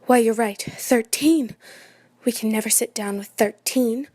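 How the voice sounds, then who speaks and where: A woman playing an excitable, imperious New York society hostess and mother in a radio play, chattering and dramatic. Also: on the high side, unhurried, no echo. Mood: agitated, urgent.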